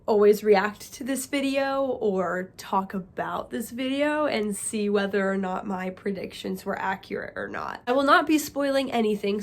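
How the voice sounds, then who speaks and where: A young woman chatting excitedly to camera as a book vlogger, sounding a little nasal from a cold. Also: mid-range voice. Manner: excited, chatty, talks to viewers as friends. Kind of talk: casual chat to camera.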